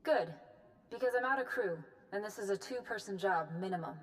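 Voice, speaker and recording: Weary ex-smuggler pilot woman, voiced by an actress; brisk, businesslike, schemer. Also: mid-range voice, natural voice, low-quality recording. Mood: scheming.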